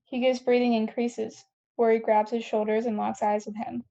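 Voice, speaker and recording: A woman's voice: fairly high pitch, level tone, normal speed, vocal fry, warm tone, highs cut off. A woman reading scene headings and stage directions as the narrator of a scripted table read.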